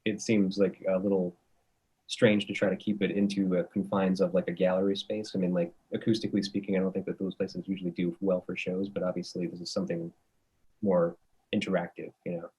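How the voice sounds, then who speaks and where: Husky guy hosting a podcast, lowish voice, laid back. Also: brisk, low-quality recording. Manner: relaxed, short affirmations. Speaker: podcast host. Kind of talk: casual interview chat.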